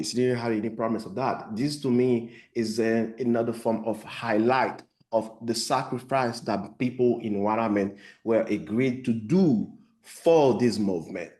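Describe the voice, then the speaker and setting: A man's voice: mid-range voice, a little mellow. A filmmaker from Haiti with a Haitian accent, answering questions thoughtfully on a video call, often restarting his sentences. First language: Haitian Creole or French. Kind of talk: post-screening Q&A over video call.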